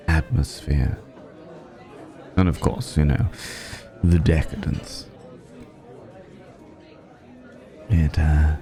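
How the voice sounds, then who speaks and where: A man playing a suave, flirtatious, ageless vampire in an intimate ASMR roleplay, speaking in a courtly, teasing way with lingering pauses. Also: rumbling low, warm tone, exaggerated voice. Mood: suave, indulgent. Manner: leisurely, courtly, teasing, with hesitant pauses and hums.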